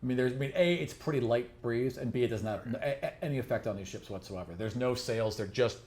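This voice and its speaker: A man's voice: mid-range voice, fast, darkish tone. A man improvising narration as game master of a tabletop fantasy game, explaining things in a conversational way. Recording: roomy echo, faint background noise.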